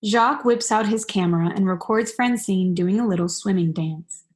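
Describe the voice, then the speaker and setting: A woman's voice: mid-range voice, normal speed, a little mellow. A young woman reading stage directions as scripted narration for a radio play. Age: young adult.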